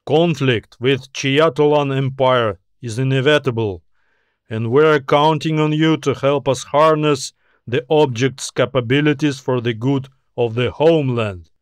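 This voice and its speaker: A man's voice: unhurried, clear, resonant tone, no room sound, close to an everyday voice. A man voicing a dutiful alien soldier in an audiobook, giving a formal military report with a murmuring tone. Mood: formal, urgent. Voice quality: murmuring bass, muffled.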